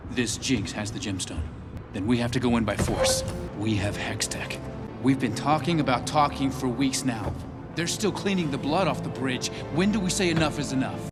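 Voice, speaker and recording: An actor playing an earnest, idealistic young inventor turned city councilor in an animated drama, intense and resolute. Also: male voice, mid-range voice, moderate pace, close to an everyday voice. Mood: frustrated, urgent. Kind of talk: dramatic animated series dialogue. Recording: dialogue over clear background music. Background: music.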